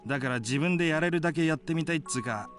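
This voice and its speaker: A man's voice: a little high, very fast, a bit bright, soft background music, lightly acted. A man playing a casual, cocky young male livestreamer in a Japanese visual novel, speaking informally and with animation. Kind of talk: Japanese game voice acting.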